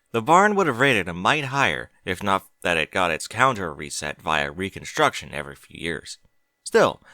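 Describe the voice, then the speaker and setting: Male audiobook narrator, lowish voice with animated intonation, scripted fiction, close dry sound. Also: dry recording.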